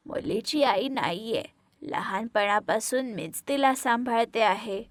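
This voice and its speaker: A woman voicing a firm, caring old grandmother who scolds her family in an animated moral story. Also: fairly high pitch, slow pace, dry recording, clean studio sound. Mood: earnest.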